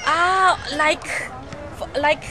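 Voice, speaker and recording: A woman answers questions outdoors, speaking a little high and pausing often with fillers. Her English is halting and hesitant. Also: fairly even intonation, low-quality recording. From Myanmar. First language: Karen. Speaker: interviewee. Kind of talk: casual interview answers.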